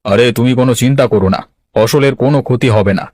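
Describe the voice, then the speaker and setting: A man's voice: medium pitch, quick pace, a little mellow, no room sound, clean studio sound, close to an everyday voice. A man playing a gullible, stubborn married village headman hungry for wealth in a cartoon, with an earnest, dramatic delivery. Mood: reassuring. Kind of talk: Bengali cartoon voice acting.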